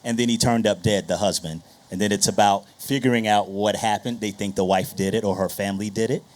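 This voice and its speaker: A man's voice: on the low side, steady tone, fairly quick, darkish tone. A man moderating a live panel with casual, joking banter, repeating phrases for emphasis.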